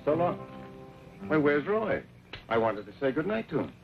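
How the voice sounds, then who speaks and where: A courteous villain in an old radio western. His mid-pitched voice is formal and polite but moves with lively inflection, on a dull vintage recording. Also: moderate pace, vocal fry, soft background music. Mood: courteous farewell. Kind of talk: old-time radio acting.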